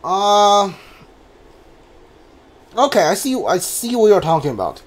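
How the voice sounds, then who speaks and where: A man giving casual live commentary on a game, thinking aloud in a hesitant, halting way. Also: high voice, sing-song. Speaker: game streamer.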